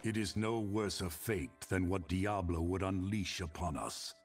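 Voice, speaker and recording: A man's voice: low voice, a little slow, faint music behind. A man playing a grim, resolute warrior hero in a video game, speaking solemnly. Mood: grim resolve. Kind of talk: dramatic video game dialogue.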